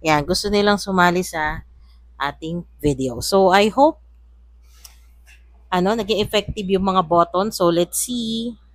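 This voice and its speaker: A woman's voice: mid-range voice, measured pace, long pauses. A woman with a Filipino accent giving a casual, chatty gadget demonstration as a product reviewer. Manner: chatty, mixing two languages. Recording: noisy room with steady background hum. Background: air conditioner or fan hum.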